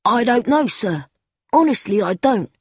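A woman's voice: fairly high pitch, animated, a little slow, slightly rough. A woman reading aloud, voicing a nervous young boy who insists and protests eagerly. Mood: protesting.

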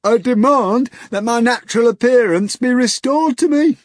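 A man voicing a pompous respectable gentleman turned into a hog, with a hoarse grunting voice. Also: very high voice, normal speed, no echo, clean studio sound, voice pushed up. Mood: indignant. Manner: stiff and pompous.

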